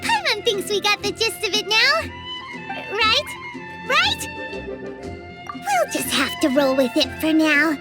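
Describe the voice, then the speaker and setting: A woman voicing a small, excitable female fairy companion who refers to herself in the third person. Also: extremely high pitch, takes it slowly, long pauses, full character voice. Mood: chirpy. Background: music.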